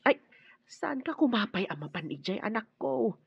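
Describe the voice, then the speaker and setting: A woman's voice: fairly high pitch, very animated, normal speed, gravelly, slightly rough, no room sound, muffled recording, heavily acted. A woman playing a concerned, protective motherly figure in an Ilocano radio drama. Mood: worried, tender.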